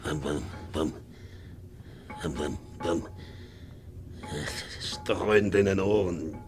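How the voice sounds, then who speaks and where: An actor playing a hard, brooding ageing ship's captain in a 1950s radio drama, shifting between muttering and barking. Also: male voice, deep voice, drawn-out words. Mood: brooding, weary. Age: older. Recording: 1950s radio recording, faint music.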